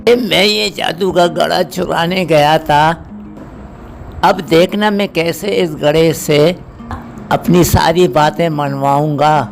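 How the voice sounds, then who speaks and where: A man's voice: fairly high pitch, slow pace, vocal fry. A man voicing a greedy, scheming villager in a cartoon story who steals a magic pot and later begs for help. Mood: gloating. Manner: sly and boastful, turns pleading when trapped. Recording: voice over background music.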